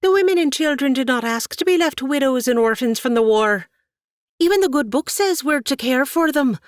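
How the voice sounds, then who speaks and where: A woman's voice: fairly high pitch, big pitch swings, a little slow, no room sound, clean studio sound, voice pushed up. A woman voicing an earnest, stubborn young woman who speaks with rising passion. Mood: impassioned. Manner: emotional, raised voice.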